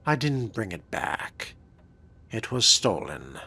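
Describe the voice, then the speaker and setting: A man voicing an urbane vampire fledgling in a game role-play, with a formal, dry and deliberate way of talking. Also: lowish voice, big pitch swings, sharp tone, husky, heavily acted. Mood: composed.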